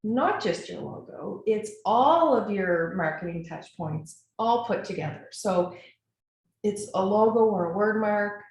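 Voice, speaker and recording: A woman from Nova Scotia with a Canadian accent gives an informal webinar presentation in an earnest, enthusiastic way, pausing often as she goes. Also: mid-range voice, animated, a little mellow. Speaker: webinar presenter, brand strategist. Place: indoor echoey room, online webinar. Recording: very echoey room, faint noise.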